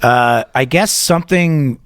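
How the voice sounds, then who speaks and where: A man's voice: mid-range voice, slow, no echo, studio quality. Rambling, profane middle-aged man. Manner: rambling, profane, laughs through his stories. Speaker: podcast co-host. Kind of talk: casual chat and storytelling.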